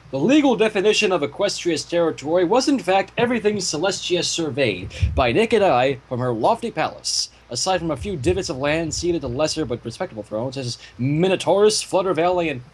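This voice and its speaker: Male host reading fan fiction, irreverent, lively intonation, slightly raspy tone. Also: on the high side, low-quality recording. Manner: irreverent, profane asides. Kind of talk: story reading with casual commentary.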